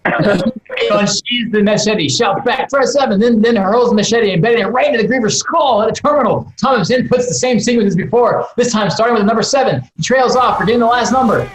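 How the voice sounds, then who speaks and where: A man's voice: very high-pitched, a little fast, a little hiss or hum. A man narrating screenplay stage directions at an online table read, reading expressively with occasional stumbles and asides. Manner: expressive, stumbles and breaks to comment. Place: remote video call from a room. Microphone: video call microphone. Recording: roomy, echoey video call audio.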